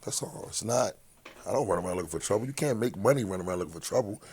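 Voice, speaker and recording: A man's voice: on the low side, lively intonation, very fast, a little mellow, breathy edge. A middle-aged man from Philadelphia with a big voice, talking bluntly and with profanity as a guest in a casual interview.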